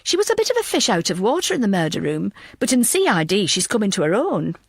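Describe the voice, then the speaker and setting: A woman voicing a decisive, supportive female police boss in an audiobook, briskly giving orders. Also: medium pitch, huge pitch swings, gravelly, breathy edge, dry recording, studio quality, heavily acted. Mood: approving.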